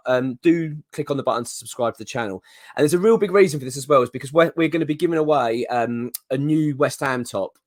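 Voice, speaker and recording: Hoarse man chatting. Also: mid-range voice, no room sound, clean studio sound. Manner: chatty, rambling, excitable with many ums and restarts. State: hoarse from a cold. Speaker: fan channel host. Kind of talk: casual solo commentary to camera.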